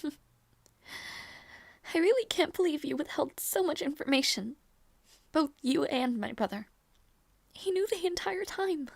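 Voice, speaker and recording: A woman playing a gentle, earnest young princess in a romantic fantasy audio roleplay, speaking softly and hesitantly with tender affection. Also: high voice, expressive, gravelly, dry recording, heavily acted. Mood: teasing, rueful. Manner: soft, hesitant, tender, halting delivery.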